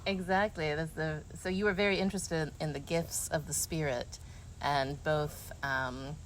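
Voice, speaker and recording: Female interviewer, low-pitched, casual and appreciative, feeling for her phrasing, with some ambient noise behind her. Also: fairly even intonation, a little slow, rough recording. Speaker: host, interviewer. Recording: noticeable ambient background noise.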